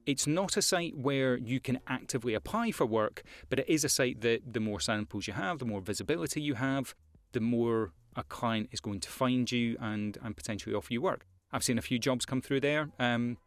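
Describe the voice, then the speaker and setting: A man's voice: mid-range voice, normal speed, no echo. A Scottish man, a voiceover artist, talking casually to camera with career advice, with frequent fillers and repeated words. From Scotland.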